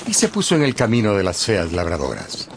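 A man narrating a radio drama in a lively scripted storytelling style. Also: sits low, brisk, creaky, noisy background.

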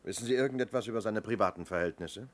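An actor as a low-voiced, probing police inspector. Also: male voice, natural voice. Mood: probing, polite. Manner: probing, hesitant lead-ins, measured. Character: methodical male police inspector questioning people. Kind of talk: 1970s German radio play acting. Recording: noisy old radio play recording.

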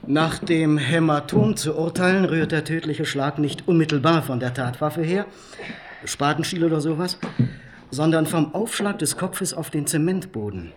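Forensic doctor male actor, slightly high voice, precise, clinical delivery, radio drama. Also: moderate pace, a little hiss or hum, natural voice. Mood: clinical, factual. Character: matter-of-fact male police doctor giving forensic findings. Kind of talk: German radio crime play dialogue.

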